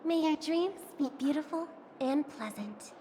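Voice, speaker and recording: An actress voicing a gentle young celebrity singer in a video game, sweet, poised and courteous. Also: female voice, sits high, expressive, measured pace, slight background noise. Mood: gracious. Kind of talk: dubbed video game dialogue. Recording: game audio under loud music.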